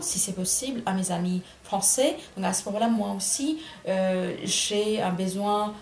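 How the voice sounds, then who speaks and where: A middle-aged woman from India speaks with an Indian accent, choosing her words slowly and reworking her phrases as she goes. Her voice carries some room echo, and a faint ambient hum sits underneath. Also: medium pitch, a little mellow. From Bengal, India. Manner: thoughtful, many hesitations and self-corrections. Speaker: writer. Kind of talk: reflective interview answers.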